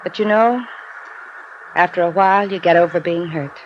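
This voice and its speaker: An actress playing a hurt but devoted wife in a 1950s radio drama, with an American accent and an earnest, halting delivery. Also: female voice, fairly low pitch, dull audio, lightly acted. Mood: wistful, resigned. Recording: hissy vintage radio recording.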